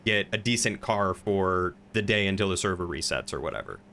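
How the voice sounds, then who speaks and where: A man chatting casually during an online game, explaining things in an orderly, measured way. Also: low voice, lively intonation, sharp tone.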